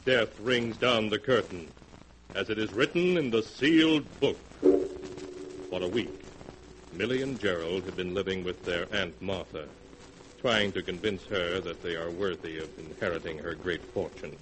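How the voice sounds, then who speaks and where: A man narrating an old-time horror radio show in a very deep, solemn and ominous voice. His voice comes through a clearly hissy 1940s broadcast. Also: a little slow, low-quality recording. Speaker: announcer and narrator.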